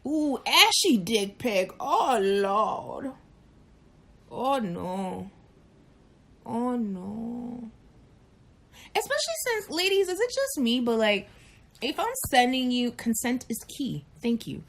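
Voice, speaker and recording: A woman in her late thirties chatting frankly and animatedly on a live chat show, telling stories and acting out quick voices. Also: on the high side, sing-song, a bit bright. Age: 30s. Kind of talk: candid casual chat.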